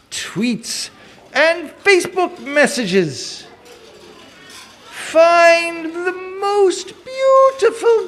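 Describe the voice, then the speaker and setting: A man's voice: very high-pitched, fairly bright tone, exaggerated voice. A man playing a pompous, theatrical king in a live children's puppet show. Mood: commanding. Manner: theatrical, slow, emphatic delivery.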